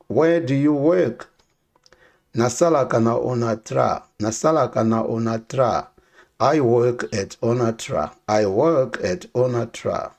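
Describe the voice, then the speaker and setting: Male language teacher, young adult, mid-pitched, repeats each phrase twice for learners. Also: creaky, darkish tone. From Democratic Republic of the Congo. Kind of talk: casual spoken language lesson with repeated phrases.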